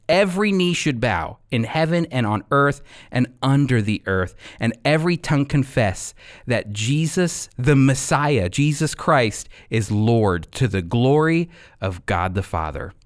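A man with a slightly high, animated voice presents devotional Bible teaching as a radio host. His pitch moves widely, and he stresses his phrases with deliberate emphasis. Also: clear, resonant tone.

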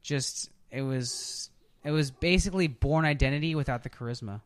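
A man speaks as a podcast host in casual, opinionated chat. His voice sits at an average pitch, and the sound is very dry with little room in it. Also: moderate pace, clear, resonant tone, no echo. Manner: informal and opinionated, with occasional swearing.

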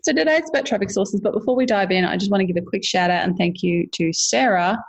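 Woman, podcast host, casual chat, laughs a lot, gravelly, husky. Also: medium pitch, quick pace. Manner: chatty, laughs often.

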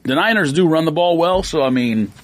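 A man's voice: a little high, lively intonation, fairly quick. A man co-hosting a podcast, chatting casually about football picks, analytical and laughing often. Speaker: podcast co-host. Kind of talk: casual sports chat.

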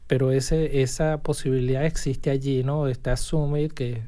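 A professor answers interview questions in measured, explanatory sentences. The voice is mellow and dark-toned at a middle pitch. Phrases are often restarted mid-thought. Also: little pitch movement. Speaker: university language professor, guest. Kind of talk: casual interview chat.

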